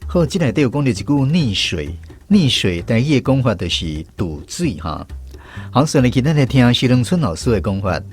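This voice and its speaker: A man's voice: mid-range voice, big pitch swings, normal speed, faint music behind. A man with a Taiwanese accent hosting a language lesson on the radio, guiding contributors through their turns.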